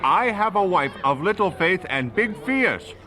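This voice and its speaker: A man playing an earnest, devout Chinese evangelist in a radio drama, speaking in formal, measured phrases. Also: high-pitched, big pitch swings. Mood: earnest, troubled. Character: earnest Chinese male evangelist, devout but candidly fearful. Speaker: voice actor in an audio drama. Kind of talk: radio drama dialogue acting.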